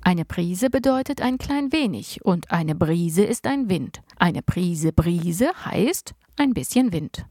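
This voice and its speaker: A woman voicing a cheerful, eccentric old grandfather inventor, reading him aloud with playful excitement from a children's storybook. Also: fairly low pitch, takes it slowly, hardly any pauses, no echo, clean studio sound. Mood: gleeful.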